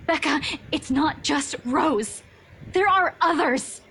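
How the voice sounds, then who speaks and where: A woman's voice: sits high, slow pace, a bit bright, full character voice. An actress playing an anxious, earnest young woman in a game who pleads and apologizes insistently.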